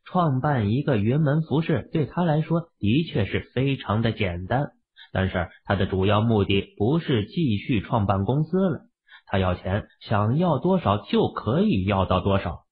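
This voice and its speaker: Man acting a young husband, audiobook hero, laid-back, musing, raspy, narrow lo-fi sound. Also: medium pitch, animated, normal speed. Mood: brooding. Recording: muffled, phone-like, lo-fi audio.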